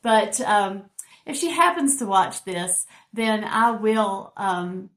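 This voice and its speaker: A woman's voice: mid-range voice, lively intonation, slow pace, a bit bright, reverberant. A woman hosting a personal vlog, speaking as she introduces her channel. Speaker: channel host.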